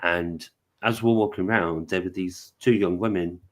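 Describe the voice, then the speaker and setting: A man's voice: deep voice, normal speed. A man with a British accent, telling a personal story on a panel and acting out the people he quotes. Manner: animated, acts out quoted voices. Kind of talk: casual storytelling.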